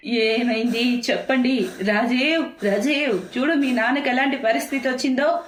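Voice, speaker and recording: A woman's voice: high voice, steady tone, moderate pace, echoey room, a little hiss or hum, voice pushed up, natural voice. A woman voicing an earnest, devoted young village wife in distress for a moral cartoon story, with a pleading manner. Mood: alarmed.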